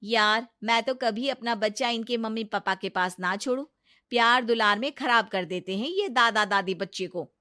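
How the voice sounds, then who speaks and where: A woman voicing a curious, chatty young female office friend, lively and informal, in a story. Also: a little high, moderate pace, no room sound, studio quality. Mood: chatty, opinionated.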